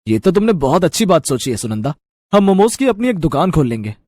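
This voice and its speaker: A man's voice: on the high side, big pitch swings, fast, a little mellow, no echo, studio quality. A man voicing a supportive husband of modest means in a cartoon story, speaking plainly and encouragingly.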